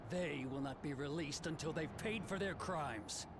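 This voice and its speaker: A woman's voice: deep voice, moderate pace, background noise, pitched below the performer's own voice, lightly acted. A woman voicing a weary, haughty male frontier deputy in a fantasy game, pompous and exasperated. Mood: stern, condescending.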